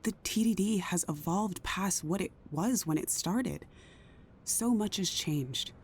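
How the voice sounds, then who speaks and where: A woman's voice: lowish voice, unhurried, husky. A woman playing a worried, stubborn, principled council official in a naturalistic drama. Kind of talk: naturalistic audio drama acting.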